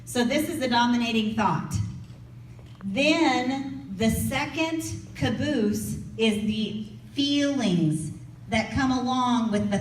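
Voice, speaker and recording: A woman with a fairly high voice teaching a goal-setting workshop in a lively, playful way. She acts out people's anxious inner voices. The sound is echoey and a little distant, as if picked up from across a room. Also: slight background noise. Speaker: workshop speaker. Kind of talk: motivational workshop talk.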